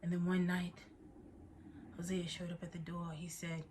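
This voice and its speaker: A woman performing an intimate dramatic monologue as a haunted woman confiding a tale of passion, hushed, breathy and measured. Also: lowish voice, flat delivery, slight background noise, lightly acted.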